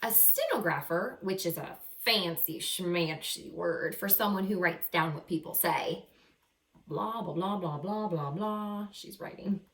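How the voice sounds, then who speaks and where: A woman teacher reading a picture book aloud to children in an expressive way, with chatty asides and stretched key words. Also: fairly low pitch, measured pace. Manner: animated, acts out characters, stretches key words. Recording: roomy echo, some distance from mic.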